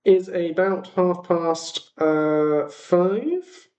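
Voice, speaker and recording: Male livestream host, high-pitched voice, unhurried delivery, casual and chatty with halting phrasing. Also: a little mellow.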